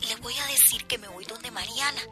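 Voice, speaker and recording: A nervous, unsure teenage girl played by an actress in a radio drama. She is high-pitched and hesitant, with a Costa Rican accent, and music plays behind her. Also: brisk, close to an everyday voice. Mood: secretive, anxious.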